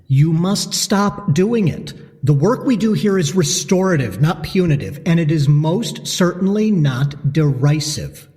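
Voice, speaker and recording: Brief: male actor as a condescending alienist, formal diction, higher voice, reverberant. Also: lively intonation, unhurried, a little mellow. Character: pompous male asylum doctor, cold and clinical. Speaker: asylum alienist.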